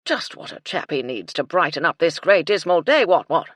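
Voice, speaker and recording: A narrator voicing a hearty, addled old army colonel who talks loudly in bursts of exclamation. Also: male voice, on the high side, sing-song, moderate pace, no echo. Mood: blustering. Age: older.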